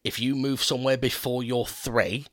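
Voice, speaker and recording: A man's voice: mid-range voice, steady tone, moderate pace, a bit bright, no echo, clean studio sound. A man hosting a casual podcast chat, passing on facts he has heard as he talks. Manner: chatty, leans on "apparently", passes on facts he has heard. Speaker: podcast host.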